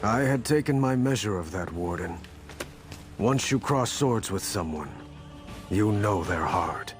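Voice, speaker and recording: A stern, proud warrior champion in a game cutscene. He speaks in a low, measured, terse way. Clear music plays behind him. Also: expressive. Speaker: the Emperor's champion. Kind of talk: video game cutscene voice acting.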